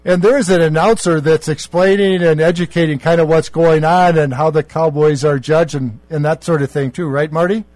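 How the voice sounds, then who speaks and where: A man's voice: fairly high pitch, fairly even intonation, moderate pace, hardly any pauses, darkish tone, dry recording. A man hosting a conversational radio interview, putting questions to guests. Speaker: radio host.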